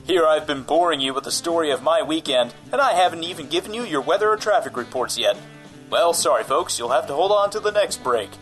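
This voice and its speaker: A man's voice: fairly high pitch, lively intonation, fairly quick, fairly bright tone, soft background music. A man playing a vain, monstrous undead news anchor, delivering a comedic radio monologue in a pompous, theatrical way. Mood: grandiose. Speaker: radio news anchor giving traffic and weather.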